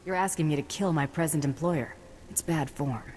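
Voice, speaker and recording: Woman as hard-boiled game bounty hunter, low voice, blunt, terse, cocky swagger, hall reverb. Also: normal speed, darkish tone, lightly acted. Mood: cocky, defiant. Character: tough, cocky, cold-blooded female bounty hunter. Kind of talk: scripted video game acting. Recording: reverberant hall, faint noise.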